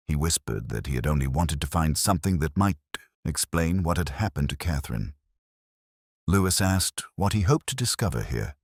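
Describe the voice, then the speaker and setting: Very deep-voiced man narrating a mystery. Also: husky, no room sound, clean studio sound. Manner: solemn, literary, measured storytelling. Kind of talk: scripted first-person audio mystery narration.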